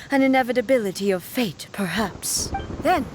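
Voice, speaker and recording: An actress voicing a stern, regal immortal guardian in a fantasy game, speaking in formal, grandiose lines. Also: female voice, a little high, a little slow, slight background noise, lightly acted, rough recording. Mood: grave, resolute. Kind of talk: video game voice acting. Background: game music.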